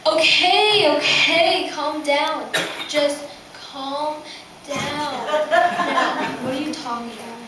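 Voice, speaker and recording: A woman playing an anxious, sceptical male disciple in an amateur puppet show, speaking haltingly and earnestly. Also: sits high, pushed and bright, faint music behind, heavily acted. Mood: incredulous. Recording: very echoey, distant mic, noisy.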